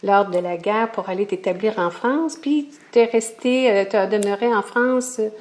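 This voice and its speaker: Woman host, Québécois accent, chatty, fillers, middle pitch. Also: darkish tone. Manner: chatty, friendly, halting with fillers. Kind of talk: interview hosting.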